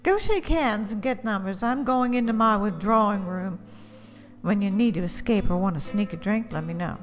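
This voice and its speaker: A woman's voice: medium pitch, normal speed, natural voice. A woman playing a flirtatious, worldly society hostess in an audio drama, coy and teasing. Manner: coy, insinuating. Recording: long reverb, faint music. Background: faint music.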